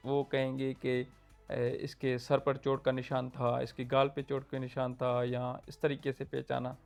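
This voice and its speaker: A man with a Pakistani Urdu accent explaining legal procedure point by point, pausing with fillers. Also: mid-range voice, gravelly, soft background music. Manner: steady, numbered points, frequent fillers. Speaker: law lecturer. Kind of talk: explanatory lecture.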